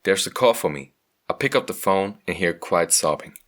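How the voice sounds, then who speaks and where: A man reading a scripted first-person story as a motion comic narrator, measured and earnest. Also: sits low, animated, a bit bright.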